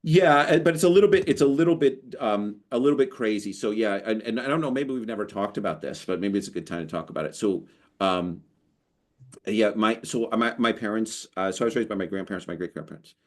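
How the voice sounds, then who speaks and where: Deep-voiced man telling a rambling story. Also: fairly quick. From United States. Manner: animated, storytelling, lots of restarts. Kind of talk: casual conversation.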